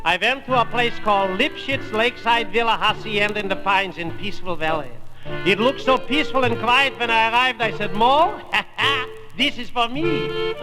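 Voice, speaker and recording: A man's voice: extremely high pitch, low-quality recording. A man performing a comic monologue as a wry, theatrical storyteller.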